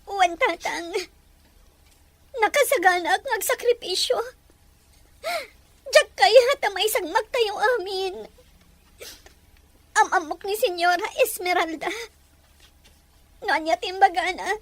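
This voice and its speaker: A woman playing a gentle, poor young sweetheart in a melodramatic audio drama, plaintive and emotional. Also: extremely high pitch, takes it slowly, long pauses, dry recording, full character voice. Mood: tearful, resigned. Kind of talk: melodramatic radio-style drama acting.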